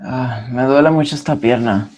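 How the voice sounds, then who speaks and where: A man's voice: medium pitch, a little fast, a little mellow, lightly acted. An actor playing an earnest young Mexican man who mixes in Spanish phrases, in a play reading.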